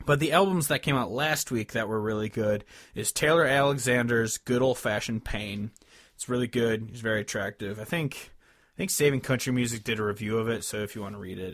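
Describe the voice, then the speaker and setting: A man's voice: on the low side, fairly bright tone, no room sound, clean studio sound. A man chatting casually and informally as a podcast host, swearing freely as he talks about music. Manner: profane, informal.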